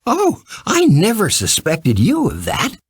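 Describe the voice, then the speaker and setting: Man putting on a high, excited little girl. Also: slow pace, breathy edge, dry recording, clean studio sound, full character voice. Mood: surprised, friendly. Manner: excited, gushing. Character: cheerful, affectionate little farm girl from Kansas. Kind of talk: storybook character voice.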